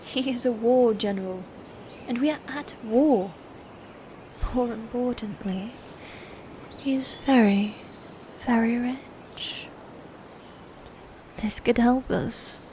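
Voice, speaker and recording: A woman acts out a sadistic, ambitious knight sister in a medieval fantasy roleplay. Her highish voice is soft-edged and mellow, delivered slowly in formal, theatrical phrases, with noticeable ambient noise behind it. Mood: scheming. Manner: theatrical, archaic formal phrasing, slow and deliberate. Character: proud, sadistic female knight, twin sister, playfully cruel and ambitious. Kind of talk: scripted medieval fantasy audio roleplay, ASMR.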